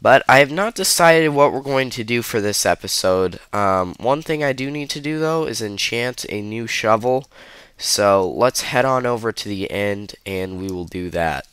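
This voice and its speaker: This is a young man with a slightly blocked-up nose, narrating his gameplay in an easy, wandering way. His voice sits a little low and comes across smooth and clear. The recording is close and dry, with no room sound. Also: a bit bright, no room sound. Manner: loose, rambling, lots of ums and uhs. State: stuffy, under the weather. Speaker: gaming video host. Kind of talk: casual gameplay commentary.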